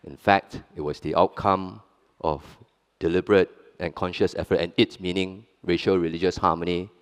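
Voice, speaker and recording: A middle-aged Singaporean man with a low, deep voice and a Singaporean English accent, speaking on a conference panel. His first language is Hokkien, and he talks in an earnest, plain-spoken way, restarting often. His voice carries with a long reverb through a large hall. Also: fairly even intonation, normal speed, clear, resonant tone.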